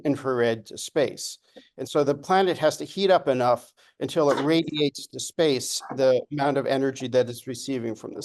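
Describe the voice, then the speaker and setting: A man's voice: mid-range voice, a little mellow. A man presenting a physics lecture over a video call, explaining step by step with some restarts. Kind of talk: academic lecture. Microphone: remote video call.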